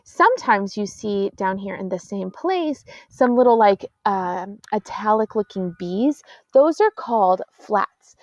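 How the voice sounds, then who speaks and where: A choir teacher, patiently explaining sheet music to middle school singers and counting beats aloud. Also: female voice, medium pitch, animated, unhurried, few pauses, no echo. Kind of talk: classroom music lesson. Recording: dull, dry lo-fi audio.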